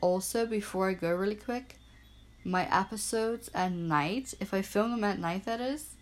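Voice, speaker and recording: A young woman from Bermuda hosting her own small podcast, chatting in an upbeat, self-deprecating way and spelling things out slowly. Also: mid-range voice. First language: Portuguese and English bilingual. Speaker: podcast host. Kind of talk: casual solo podcast chat. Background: frogs and crickets at night.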